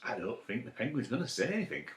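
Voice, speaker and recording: A man chatting playfully and excitably to children as a story time presenter, asking them questions, in an echoey room. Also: mid-range voice, quick pace, vocal fry. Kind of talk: playful chat addressed to children.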